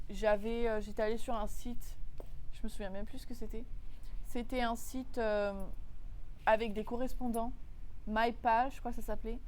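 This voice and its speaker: A young woman from France talks through her advice in a relaxed vlog, her voice sitting fairly high. She is chatty and repeats words for emphasis, with a faint hiss of noise behind her. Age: young adult. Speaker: vlogger.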